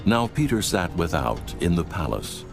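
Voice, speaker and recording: A man narrating scripture in a steady, measured storytelling manner, with music behind. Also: low-pitched, creaky.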